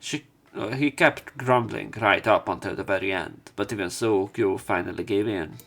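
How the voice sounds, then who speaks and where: A man's voice: fairly low pitch, moderate pace, vocal fry, fairly bright tone. A man reading a visual novel aloud and adding his own casual commentary. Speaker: let's play narrator.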